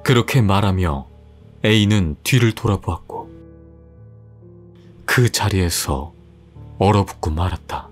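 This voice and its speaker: A man narrating a horror story in a measured, suspenseful style. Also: low-pitched. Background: faint music.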